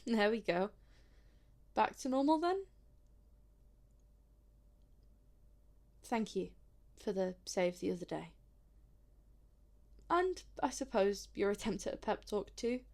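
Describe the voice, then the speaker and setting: A woman's voice: medium pitch, sing-song, measured pace, pauses often, darkish tone. A woman playing a sarcastic, wary, lonely but plucky human in an audio drama, in a naturalistic, informal and emotive way. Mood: wry teasing.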